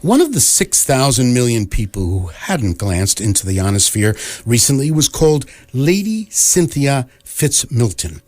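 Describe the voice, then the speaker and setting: A man playing the wry, deadpan narrator of a science fiction radio comedy, reading scripted narration in a measured way. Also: mid-range voice. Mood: dry, informative.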